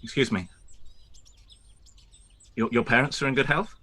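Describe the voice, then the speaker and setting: A man voicing a proud young gentleman, stiff and stammering, in a live-read radio play. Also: mid-range voice, moderate pace. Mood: awkward. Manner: stammering, awkward.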